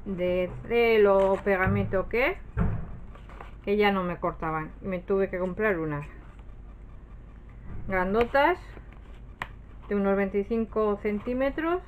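A woman's voice: mid-range voice, slow, long pauses, a little hiss or hum. A woman with an accent from Spain, chatting casually and rambling as she shows her purchases, often trailing off and correcting herself. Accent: Spanish from Spain. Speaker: craft haul vlogger. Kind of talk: casual chat, showing purchases to camera.

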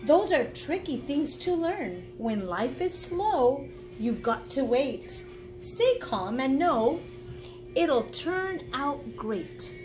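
A woman in her forties reading a children's book aloud like a teacher, encouraging and pausing to explain and ask the listener questions. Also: high voice, very animated, over music. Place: small indoor room at home.

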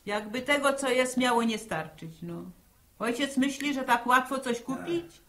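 A scolding farm mother in a vintage radio play. Her voice sits fairly high, and she grumbles in an earthy, folksy way, blunt and practical. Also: lively intonation, a little slow, fairly bright tone. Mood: grumbling. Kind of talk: 1960s Polish radio drama acting. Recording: 1960 archive radio drama recording.

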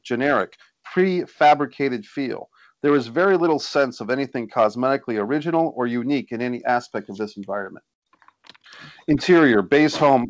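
A man's voice: medium pitch, dry recording. Man narrating screenplay directions, dry sound. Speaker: screenplay reader of stage directions. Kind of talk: scripted narration at a table read. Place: indoor room on a video call. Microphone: video call.

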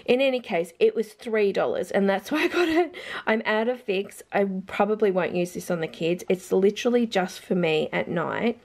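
A woman's voice: medium pitch, lively intonation, normal speed. An Australian woman talks casually to her camera at home while she films what she bought. Her speech wanders as she thinks aloud, with restarts and small asides about prices. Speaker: vlogger mum showing a grocery haul. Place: home, indoors, carpeted floor. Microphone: camera held over products, filming hands.

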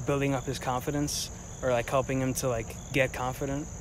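A man's voice: mid-range voice, flat delivery, brisk. A young man in his twenties interviewing passers-by outdoors, rambling with many fillers and restarts. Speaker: street interviewer. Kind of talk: casual vox pop interview. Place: outdoors on a campus street. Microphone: handheld mic. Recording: outdoor noise behind.

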